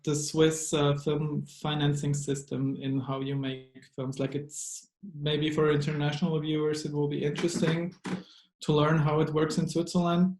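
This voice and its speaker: A man in his thirties interviewing over a video call from a room with bookshelves, asking long, halting questions with many fillers. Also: on the high side, little pitch movement, darkish tone, roomy sound, rough recording. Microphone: webcam video call.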